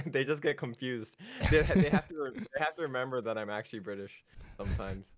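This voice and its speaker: A young man raised in Japan with an American accent, speaking as a guest in a casual interview, halting, with many fillers and restarts. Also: mid-range voice.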